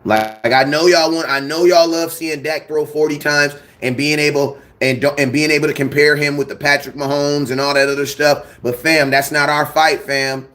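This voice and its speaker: A young man hosting a casual fan show, talking in slang and repeating himself when excited. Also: on the high side, moderate pace, hardly any pauses. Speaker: sports fan show host. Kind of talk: casual live-stream chat.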